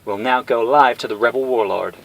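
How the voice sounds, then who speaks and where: A man's voice: medium pitch, a little fast, gravelly, fairly bright tone, low-quality recording. A man voicing a young woman TV reporter. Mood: flustered. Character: young female TV news reporter, composed.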